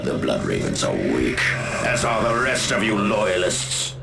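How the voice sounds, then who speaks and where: An actor playing a wrathful, bloodthirsty warlord of chaos in a video game, menacing and full of contempt. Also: male voice, low-pitched, huge pitch swings, measured pace, vocal fry, noisy background, exaggerated voice. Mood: contemptuous menace. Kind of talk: video game cutscene villain acting. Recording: echoing voice under very loud music. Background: very loud music.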